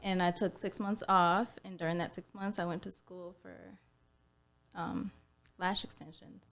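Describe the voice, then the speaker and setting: Soft-spoken woman with a gravelly edge. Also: on the low side, flat delivery, unhurried. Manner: soft-spoken, laid-back storytelling. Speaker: podcast guest. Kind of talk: casual conversation.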